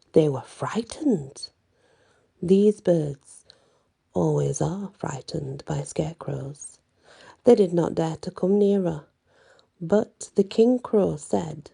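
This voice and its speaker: A woman reading a story aloud in an ASMR bedtime style, with halting, deliberate phrasing. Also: deep voice, gravelly, dark tone, husky.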